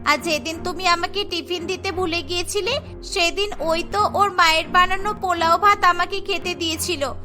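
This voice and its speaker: A woman's voice: extremely high pitch, fairly even intonation, normal speed, over music. A woman voicing a kind, loyal young schoolgirl in a cartoon, speaking earnestly. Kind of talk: cartoon dialogue.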